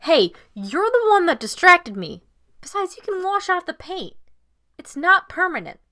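A woman playing a feisty, clumsy teenage girl in an amateur anime audio drama, brash and expressive. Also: very high voice, unhurried, heavily acted. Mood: indignant.

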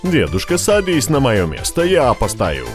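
A woman's voice: extremely low pitch, wildly swooping pitch, moderate pace, full character voice. A woman voicing an easygoing but firm father pig, matter-of-fact, in a children's toy cartoon. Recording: loud children's music over the voice.

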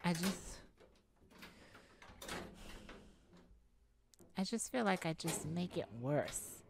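A woman acting an exhausted, anxious pop star confiding haltingly in a bodyguard lover, in an intimate ASMR roleplay. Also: on the low side, expressive, background noise. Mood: flustered. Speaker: audio roleplay performer.

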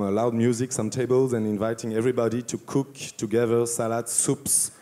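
A man in his thirties speaks English with a French accent as a panelist. His voice is soft-edged and mellow, at an average male pitch. He talks informally into a handheld mic on a festival stage. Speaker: panelist, community project founder. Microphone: handheld microphone.

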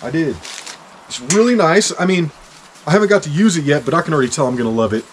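A man's voice: fairly high pitch, quick pace, slight background noise. A man in his forties from Kansas, reviewing hiking gear in a chatty, joking way on an outdoor deck. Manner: chatty, jokey, bursts of excitement. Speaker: hiking vlogger. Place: outdoor back deck in winter.

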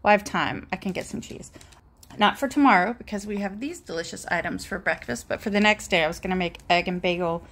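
A woman's voice: medium pitch, fast talker, fairly bright tone. A woman vlogger chatting casually and rambling as she shows her grocery haul at a table at home, recorded on a handheld phone. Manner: chatty, rambling, self-deprecating asides. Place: home kitchen table, indoors.

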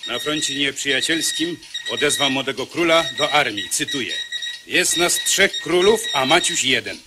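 An actor playing an urgent, declamatory wartime radio announcer reading bulletins in a radio drama. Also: male voice, medium pitch, animated, normal speed, close to an everyday voice. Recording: loud background noise over broadcast.

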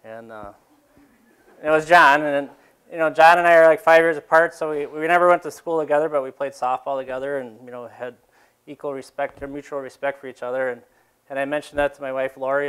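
Plain-spoken farmer man, smooth voice. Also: medium pitch, fairly bright tone, poor audio. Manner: rambling with fillers and restarts. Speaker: dairy farmer presenting. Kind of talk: casual talk to a seated audience. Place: large exhibition hall.

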